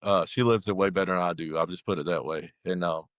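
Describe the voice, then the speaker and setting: Male interview guest, deep register, conversational and halting storyteller who quotes dialogue, very dry sound. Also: steady tone, dry recording, highs cut off. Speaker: guest telling his life story. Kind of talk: casual personal testimony.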